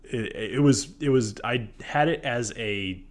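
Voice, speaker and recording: A man's voice: medium pitch, unhurried. A man in his thirties hosting a live stream in a casual, rambling, jokey conversational way. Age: 30s. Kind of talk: casual chat about whiskey on a live stream.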